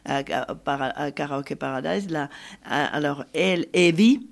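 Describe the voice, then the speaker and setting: A woman's voice: sits low, unhurried, creaky. A Finnish woman speaking a second language with a Finnish accent, explaining things in an interview with frequent hesitant fillers. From Finland. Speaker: guest, film festival organizer. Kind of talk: conversational interview.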